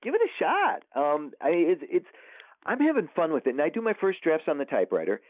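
A man's voice: a little high, huge pitch swings, fairly quick, dry recording. A man who is an author, chatting casually in an interview, rambling with many fillers and restarts. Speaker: author guest. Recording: dry, tinny phone line.

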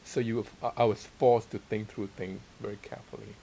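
A man's voice: lowish voice, brisk, vocal fry, rough recording. A middle-aged man from Thailand answering interview questions modestly and hesitantly, searching for words in a casual sit-down conversation. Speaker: interviewed guest, theology teacher and author. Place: small library room with armchairs. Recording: steady hiss and room noise.

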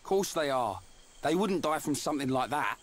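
An actor playing a brawny, loyal young warrior with a British accent and a blunt, informal way of talking in a video game. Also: male voice, fairly high pitch, animated, normal speed. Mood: recovering, hearty. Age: young adult. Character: brawny young male warrior, loyal, blunt, self-doubting but hearty. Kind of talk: video game cutscene acting. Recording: game music behind the voice. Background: game soundtrack music.

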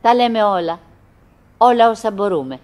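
An actress playing a wistful, lonely, chattering wife in a theatrical radio play, musing in fragments and repeating herself. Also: female voice, medium pitch, expressive, a little mellow, natural voice. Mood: musing, melancholy. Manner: fragmented, repetitive musing with pauses and self-address.

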